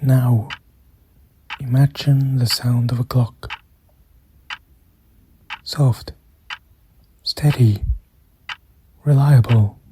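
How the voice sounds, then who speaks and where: A meditation guide speaking softly and soothingly, in measured phrases with long pauses and a breath that shows at times. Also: mid-range voice, steady tone, slight background noise. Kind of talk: slow guided meditation narration.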